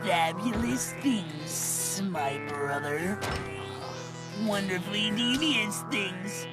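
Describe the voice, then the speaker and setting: A woman voicing a pompous, scheming villain boy in a cartoon fandub, gloating with drawn-out, theatrical menace. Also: medium pitch. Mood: smugly devious. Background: loud music.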